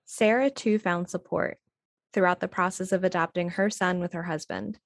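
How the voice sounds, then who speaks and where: A woman's voice: fairly low pitch, fairly even intonation, normal speed, vocal fry. A woman hosting with scripted podcast-style narration. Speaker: host and narrator. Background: music.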